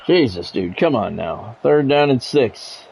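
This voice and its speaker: A man doing measured, broadcast-style football play-by-play over a video game, in clipped phrases with casual gamer asides. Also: mid-range voice, expressive, creaky, darkish tone, slight background noise.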